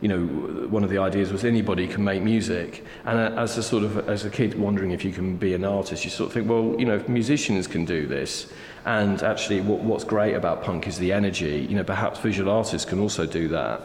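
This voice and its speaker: A deep-voiced man in his fifties reflects on his life in an unhurried, hesitant way, full of little fillers. His voice rings through a roomy hall with a long tail of reverb, and faint ambience sits behind him. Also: a little hiss or hum. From England. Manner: thoughtful, hesitant, many fillers. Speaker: artist guest. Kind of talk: reflective interview conversation.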